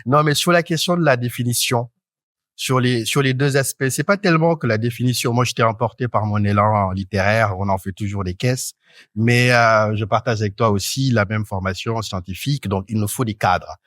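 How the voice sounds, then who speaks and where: A man in his thirties from Senegal, speaking as a talk show panelist in an articulate, academic way, often pausing on hesitant fillers. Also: medium pitch, a bit bright, dry recording. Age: 30s. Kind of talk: panel discussion.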